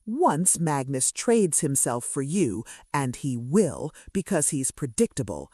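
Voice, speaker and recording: A woman's voice: sits low, big pitch swings, takes it slowly, warm tone, dry recording, clean studio sound, exaggerated voice. A woman voicing a clinical, sardonic male kidnapper who speaks with casual menace in a romance audiobook. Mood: callous, sardonic. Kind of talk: romance audiobook character dialogue.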